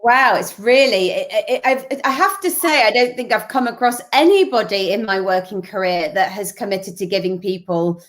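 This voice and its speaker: Brief: female interview host, chatty, wandering questions, mid-pitched. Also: moderate pace, few pauses, fairly bright tone. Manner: chatty, rambling questions with restarts. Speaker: interview host, business school founder. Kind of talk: casual interview talk.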